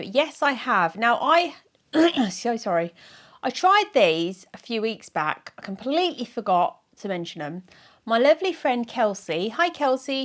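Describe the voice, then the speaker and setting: A middle-aged woman with a croaky, sore-throated voice, chatting casually to camera in a rambling, giggly, self-mocking way. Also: fairly high pitch, a bit bright. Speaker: weight-loss vlogger.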